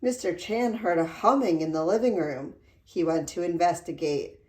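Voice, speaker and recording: A woman reading a children's storybook aloud in an expressive, deliberate storytelling manner. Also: lowish voice. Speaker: read-aloud narrator. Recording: roomy echo.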